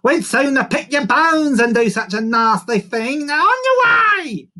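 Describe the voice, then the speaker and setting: Shrill, haughty lady vulture. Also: sing-song, unhurried, pushed and bright, full character voice. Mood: haughty scolding. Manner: shrill, prim scolding. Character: haughty, disgusted female vulture, shrilly scolding.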